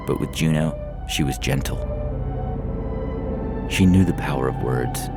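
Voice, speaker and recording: A man's voice: rumbling low, vocal fry. A man reading a science fiction story as dramatic, scripted audiobook narration. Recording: scary music behind the voice. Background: ominous music.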